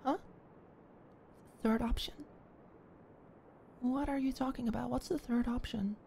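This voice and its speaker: A high-voiced young woman talks close to the mic in a shy, halting way, stumbling over her words and now and then slipping into a soft whisper. A gravelly fry runs under her voice. Faint ambient sound sits behind her. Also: steady tone, slight background noise. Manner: shy, stammering, flustered. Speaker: ASMR roleplay performer. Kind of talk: ASMR roleplay, one-sided dialogue.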